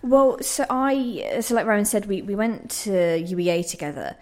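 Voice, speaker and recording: A woman's voice: mid-range voice, moderate pace. A young woman, a playwright, talking casually as an interview guest in a hesitant, earnest manner.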